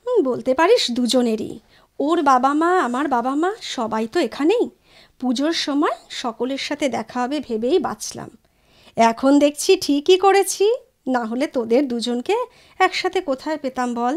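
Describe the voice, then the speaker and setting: Woman acting a high-voiced, playful woman. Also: normal speed. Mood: chatty, reflective. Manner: teasing, coaxing, girlish excitement. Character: playful Bengali woman back from London meeting old college friends. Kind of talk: Bengali audio drama acting.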